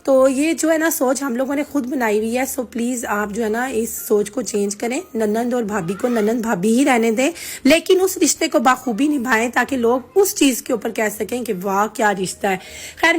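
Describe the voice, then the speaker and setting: Woman, hoarse throat, somewhat high voice, chatty, earnest, vlog to camera. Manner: chatty and earnest, addressing viewers directly. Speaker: vlogger. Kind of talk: casual talk to camera, giving opinions.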